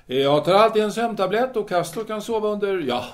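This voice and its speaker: A man playing a brooding, talkative 1930s Parisian philosopher in an audio drama, musing haltingly and formally. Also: high-pitched, expressive. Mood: eager, persuasive.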